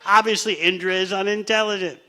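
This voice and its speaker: A man's voice: sits high, steady tone, a little fast, bright, forceful tone. A man teaching a scripture class in a conversational way, asking his listeners questions and sometimes laughing. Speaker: spiritual teacher.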